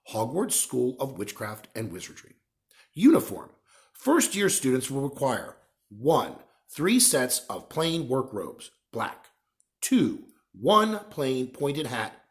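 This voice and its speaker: A man reading a children's novel aloud as a narrator. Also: mid-range voice. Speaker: story reader.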